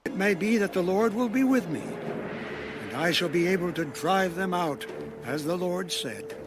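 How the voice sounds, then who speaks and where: An actor playing a steadfast eighty-five-year-old warrior in a solemn, measured dramatized Bible reading. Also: male voice, sits high, animated. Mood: resolute. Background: music.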